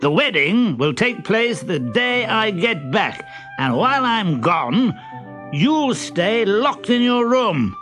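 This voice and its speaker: A man's voice: high voice, big pitch swings, slow pace, fairly bright tone, soft background music, pitched above the performer's own voice, full character voice. A man voicing a stern, stubborn rich father in a storybook, declaring his orders with emphatic force. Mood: stern, resolute.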